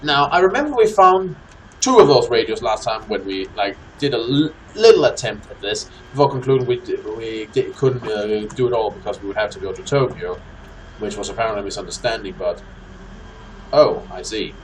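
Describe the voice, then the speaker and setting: A man's voice: on the low side, expressive, a little fast, poor audio. A man hosting a co-op let's play, commenting casually in wordy, rather formal phrasing. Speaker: host of a co-op gaming series. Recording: faint game noise behind voice. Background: game ambience.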